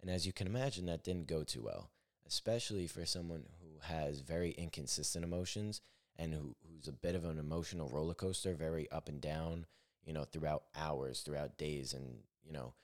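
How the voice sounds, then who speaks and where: A young man with a naturally deep voice hosting his own podcast, talking candidly in a rambling, self-correcting way. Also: normal speed. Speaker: podcast host. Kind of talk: solo reflective podcast monologue.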